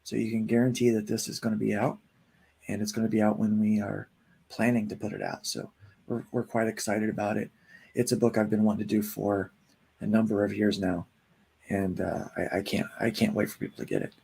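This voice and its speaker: A gravelly man in his forties talks about his comic project in a loose, rambling way. He pads his sentences with plenty of fillers as a relaxed interview guest. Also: fairly low pitch, a little fast. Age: 40s. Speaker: comic book writer and guest. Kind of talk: casual interview chat.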